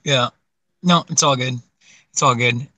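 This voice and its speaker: Man in his forties, bright close voice, loose rambling chat with laughs. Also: mid-range voice, unhurried, no echo. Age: 40s. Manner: rambling, laughs often, swears casually. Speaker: livestream co-host. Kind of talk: casual chat.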